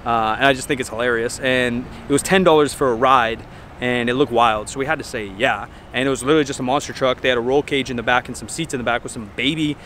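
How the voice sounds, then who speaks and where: A man's voice: medium pitch, steady tone, fast talker, fairly bright tone, a little hiss or hum. A man in his thirties from Northern California reviews fair food in a casual, jokey vlog, swearing now and then, filmed outdoors on a camera mic held close. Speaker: vlogger. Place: outdoor state fair, crowd and rides around.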